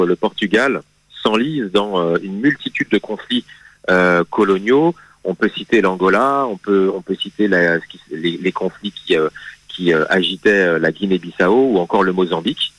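A man speaks as a guest in a radio studio, laying out history in a measured, patient way. His voice sits on the low side and he hesitates often, circling back on his own phrasing. The sound is dry and close, with no room around it. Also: fairly bright tone, dry recording, low-quality recording. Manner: hesitant, many fillers and restarts, measured explanation. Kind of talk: radio interview, explaining history.

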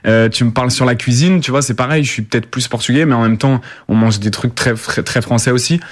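A man's voice: mid-range voice, quick pace, dry recording, clean studio sound. A young man from the Paris suburbs, answering interview questions casually with many fillers and restarts. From Paris suburbs, France. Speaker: rapper, guest.

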